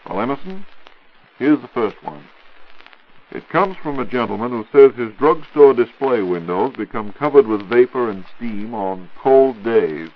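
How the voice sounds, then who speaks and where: A man with an American accent hosting a scripted 1940s radio program in a formal, measured, polished announcer's delivery. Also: medium pitch, animated. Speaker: radio show host and announcer. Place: radio studio. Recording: muffled 1940s radio broadcast.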